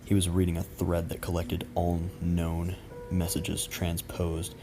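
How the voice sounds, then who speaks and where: Very flat, low-voiced man narrating. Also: measured pace. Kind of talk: scripted creepypasta narration. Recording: music behind the voice. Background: background music.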